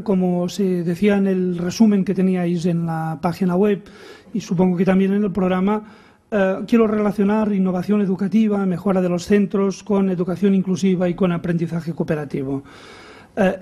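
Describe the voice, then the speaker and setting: A man's voice: sits high, warm tone. A middle-aged man with a Catalan accent, a native Catalan speaker giving a conference lecture, hesitant and repeating words as he searches for them.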